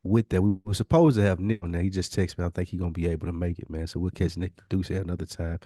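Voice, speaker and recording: A man with a low-pitched voice co-hosts a podcast. He chats casually in slang, swears now and then and drops "man" often. The sound is dry, with very little room. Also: steady tone, quick pace, warm tone, dry recording. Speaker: podcast co-host. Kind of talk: casual banter.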